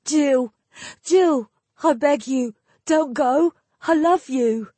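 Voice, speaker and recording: A woman voicing a desperate, lovesick lord in an audiobook, pleading in a wounded, breathy way. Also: sits high, extremely slow, mellow, dry recording, pitched above the performer's own voice. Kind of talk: audiobook character acting.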